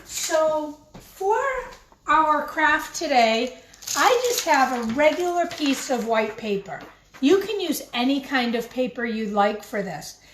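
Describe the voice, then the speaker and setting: Echoey, higher-voiced woman teaching children. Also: lively intonation, takes it slowly. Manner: gentle, encouraging, simple address to young children. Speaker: primary school teacher leading a craft. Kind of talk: children's craft tutorial, talking while demonstrating. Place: home kitchen, by the refrigerator. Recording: echoey room with faint background noise.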